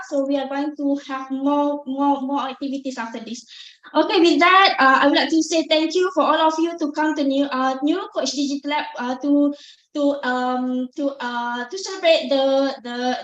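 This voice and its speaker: A woman giving a halting, formal welcome speech at a university lab launch, with many restarts. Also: high voice, a bit bright. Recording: dull, echoey hall.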